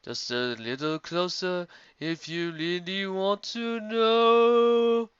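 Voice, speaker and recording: A man voicing a solemn female zebra who tells a tale in slow sung rhyme. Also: high voice, fairly even intonation, fairly bright tone, clean tone, no echo, voice pushed up. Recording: dull lo-fi audio.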